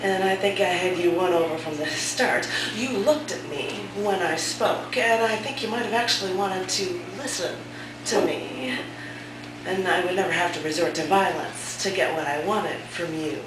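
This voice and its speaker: A woman's voice: fairly low pitch, normal speed. A woman hosting a cafe open mic and reading a poem, her voice scratchy from a sore throat, chatty and self-deprecating. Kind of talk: casual hosting, then poetry reading. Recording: echoey room from far off.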